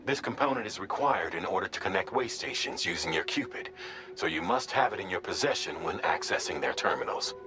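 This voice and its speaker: A man's voice: sits low, animated, brisk. A man playing a composed military operations commander in a sci-fi game, giving an orderly, formal mission briefing. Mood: composed, instructive.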